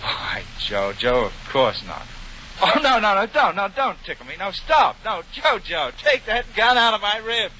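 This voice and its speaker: A man's voice: high voice, big pitch swings, a little slow, exaggerated voice. Flustered eager american con man, 1940 radio comedy, lots of hiss and noise. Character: glib racketeer gone soft, flustered and eager. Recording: muffled, noisy vintage radio broadcast.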